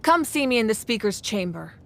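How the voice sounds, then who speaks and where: A woman acting a composed, commanding ruler in a video game, speaking with formal, measured authority. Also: a little high, sing-song, fairly bright tone, slight background noise. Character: commanding, composed female ruler of a warrior nation.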